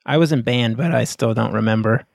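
A chatty man joins a tabletop game session, joking with his friends. His voice sits at a medium pitch. The recording is dry and close. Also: brisk, vocal fry, no room sound. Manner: chatty, jokey, laughs often. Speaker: player. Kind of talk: casual chat.

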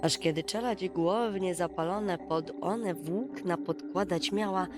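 A woman storyteller reading a bedtime fairy tale in an expressive, dramatic, folksy way. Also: fairly low pitch, a little slow. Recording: music behind the voice. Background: music.

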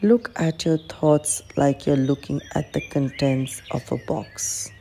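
A woman leading a guided meditation as an instructor, speaking in a calm, gentle, measured manner. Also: sits low. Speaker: clinical psychologist guiding a meditation. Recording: faint background noise with birdsong.

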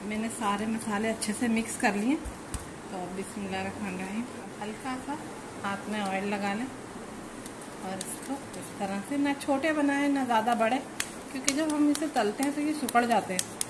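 A woman with a fairly high voice explains a home recipe step by step in a calm, instructive way, repeating key points as she works. Her voice is recorded in an echoey kitchen. Clear background noise runs underneath. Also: normal speed. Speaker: cooking channel host. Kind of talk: home cooking demonstration.

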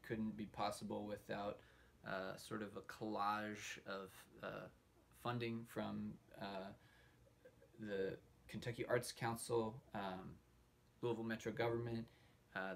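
Male host, fry-heavy voice on the low side, halting informal delivery, slightly noisy room recording. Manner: halting, pausing often mid-sentence. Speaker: host introducing a puppetry showcase. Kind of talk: informal spoken introduction to a livestream. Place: indoor room hung with fabric backdrops.

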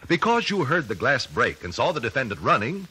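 An actor playing a methodical, confident trial lawyer in an old-time radio drama, American, questioning formally and precisely. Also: male voice, medium pitch, big pitch swings, brisk, bright, forceful tone, poor audio. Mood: insistent. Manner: precise, formal cross-examining. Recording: raspy vintage 1950s radio recording.

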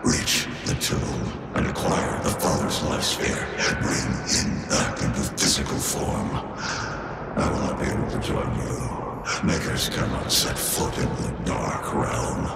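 An actor voicing a commanding ancient alien Maker lord in a video game, with a very deep, solemn and ominous voice. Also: male voice, measured pace, heavy background noise. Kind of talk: video game cutscene voice acting. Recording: echoey game mix with loud music.

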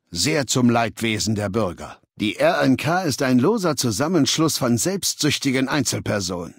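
A cold haughty warlord dictator for a game, lectures and gives orders, middling pitch, very dry recording. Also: male voice, husky, dry recording. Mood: stern, lecturing. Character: imperious, cold warlord dictator of a slaver legion. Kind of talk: video game character dialogue.

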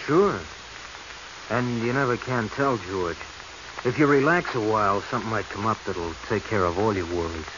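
Actor as scheming treasure hunter, muffled radio. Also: male voice, on the low side, normal speed, natural voice. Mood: smooth, insinuating. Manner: evasive, stammering when cornered, bossy. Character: scheming, nervous male treasure hunter hiding guilt. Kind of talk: 1940s radio acting. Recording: muffled, hissy 1940s radio recording.

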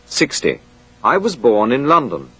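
A man reading a scripted language course drill in a clear, measured way. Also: medium pitch, wildly swooping pitch, fairly bright tone, a little hiss or hum, poor audio.

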